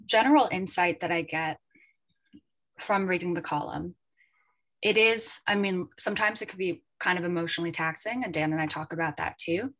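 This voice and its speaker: A woman with a voice a little lower than average speaks as a guest editor on a panel. Her delivery is conversational and reflective, with tentative, informal phrasing as she works through her thoughts. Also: normal speed, fairly bright tone, poor audio.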